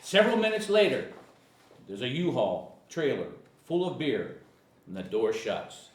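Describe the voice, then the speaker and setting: A man's voice: medium pitch, wildly swooping pitch, a little slow. A man reading screenplay action lines at a comedic table read, casual, stumbling over words and chuckling. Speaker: screenplay narrator and reader. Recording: echoey room, far from mic, faint noise.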